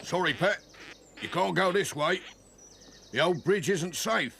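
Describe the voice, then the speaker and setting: A man's voice: high-pitched, lively intonation, a little slow, vocal fry, a bit bright, slightly rough, voice pushed up, heavily acted. A man voicing an easygoing, confident young village workman with a reassuring, informal manner and an English accent. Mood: helpful. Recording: animal and vehicle noise behind.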